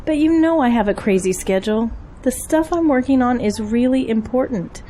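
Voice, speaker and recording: A woman's voice: on the high side, expressive, moderate pace, dark tone, a little hiss or hum, lightly acted. A woman acting in an audio drama as a busy, earnest political campaign manager and single mother. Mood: earnest, pleading.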